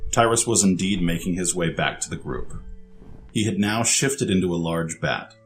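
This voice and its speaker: A man's voice: deep voice, lively intonation, normal speed. A man narrating a scripted fantasy story over music.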